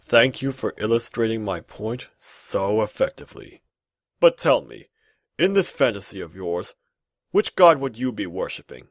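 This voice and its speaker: A man's voice: lowish voice, very animated, normal speed, dry recording, clean studio sound. A man voicing a sarcastic, exasperated fighter and party leader in a comic cartoon fandub. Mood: sardonic. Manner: sardonic. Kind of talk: animated comic fandub acting.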